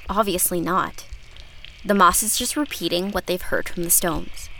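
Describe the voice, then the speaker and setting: Casting: capricious young girl for audio drama; sharp, bright tone, sulky, hesitant, argumentative. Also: fairly low pitch, moderate pace, slight background noise, rough recording. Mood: quiet, sullen. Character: capricious, anxious young girl, sullen and questioning. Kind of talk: naturalistic audio drama dialogue.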